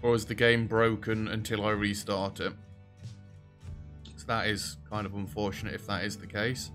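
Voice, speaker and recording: A young British man with a rather deep, low-pitched voice gives casual let's-play commentary into a headset mic at home. He is chatty and self-deprecating and talks back to the game's characters. Faint music plays behind him. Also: little pitch movement, normal speed. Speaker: gaming video host.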